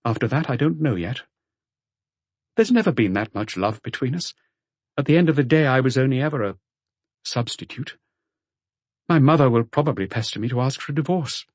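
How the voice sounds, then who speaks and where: A man voicing a calm, detached middle-aged wife on the telephone, cool and matter-of-fact, in an audiobook. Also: mid-range voice, brisk, long pauses, no room sound, voice pushed up, close to an everyday voice. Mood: cool, composed.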